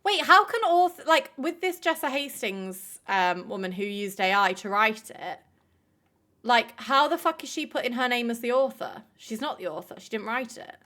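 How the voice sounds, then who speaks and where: A woman's voice: fairly high pitch, animated, normal speed, sharp tone. A woman hosting a live stream, chatting casually with viewers, filling her talk with "like" and swearing freely. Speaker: livestream host.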